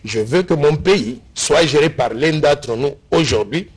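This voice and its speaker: A man's voice: a little high, animated, measured pace, husky. A middle-aged Congolese man arguing his case with passion as a guest in a TV studio interview. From Congo (DRC). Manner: impassioned, argumentative, gestures a lot. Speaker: interview guest, bill author. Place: TV studio set.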